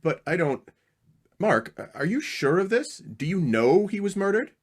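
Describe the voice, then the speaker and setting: A man's voice: high voice, sing-song, a little slow, full character voice. A man acting an earnest, uneasy husband in an old-time radio mystery, questioning and hesitant. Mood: startled, protesting.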